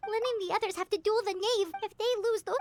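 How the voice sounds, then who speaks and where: A woman voicing a small, childlike, excitable and fretful companion creature in a video game, with a squeaky, expressive voice. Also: fast talker, noisy background. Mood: worried. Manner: exclaiming, questioning, expressive. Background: faint music.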